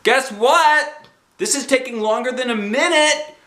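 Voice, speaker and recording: A man voicing an excitable, indignant kindergarten girl in a children's storybook, with childish, blurting, emphatic delivery. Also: very high voice, measured pace, echoey room, pitched above the performer's own voice, exaggerated voice. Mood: complaining. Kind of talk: children's storybook read aloud.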